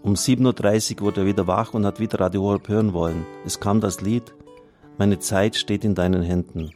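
A man's voice: fairly low pitch, fairly even intonation, fairly quick, darkish tone, soft background music. A man, a priest hosting on radio, speaking in an earnest, plain-spoken way as he reads out listener messages and appeals for support. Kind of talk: reading out listener testimonials and appealing for donations on air.

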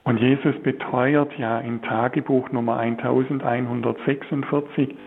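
A priest from Swabia, giving a spiritual talk in a slow, searching way with many hesitations. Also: male voice, mid-range voice, steady tone, gravelly, slightly rough, narrow, tinny audio. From Swabia, Germany. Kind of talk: spiritual talk on a religious broadcast.

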